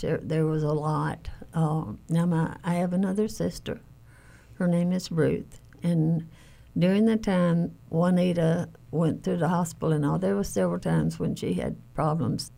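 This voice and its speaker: An older woman shares recollections in conversation as an interviewee. Her voice is low and mellow, with a marked gravelly creak. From Alabama, United States. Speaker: interviewee, family caregiver.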